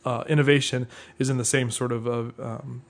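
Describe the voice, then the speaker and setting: A man's voice: mid-range voice, level tone, a little fast, gravelly. A man co-hosting a podcast, chatting about board games in a casual, jovial, conversational way. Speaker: podcast co-host.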